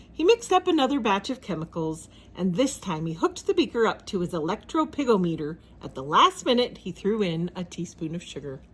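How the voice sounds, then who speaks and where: A woman narrates a children's storybook with plenty of drama. Her pitch moves widely from phrase to phrase, and her voice has a husky grain. The delivery is expressive throughout.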